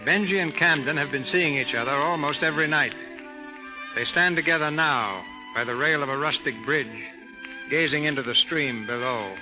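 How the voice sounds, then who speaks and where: A man's voice: a little high, moderate pace, poor audio. A man giving formal scripted narration as host of a 1930s radio theater. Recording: 1930s radio broadcast with music behind.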